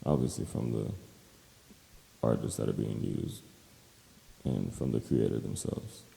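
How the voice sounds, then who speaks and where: A man co-hosting a podcast in relaxed, casual discussion. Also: rumbling low, measured pace, vocal fry, long reverb tail, slight background noise, rough recording. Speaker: podcast co-host.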